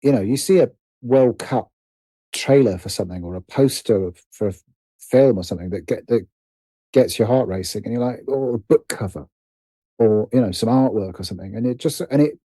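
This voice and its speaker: Male interview guest, very soft dark tone, thoughtful stop-start conversational delivery, close dry sound. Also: medium pitch, expressive, normal speed, husky, no echo. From United Kingdom. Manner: hesitant, repeats and rephrases phrases as he thinks. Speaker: guest, writer and producer.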